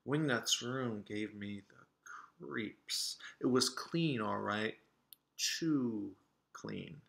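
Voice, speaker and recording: A man reading a children's story aloud in an expressive way, as the author, with asides to show pictures. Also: medium pitch, very slow, creaky. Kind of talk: animated children's storybook narration. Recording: roomy, echoing sound.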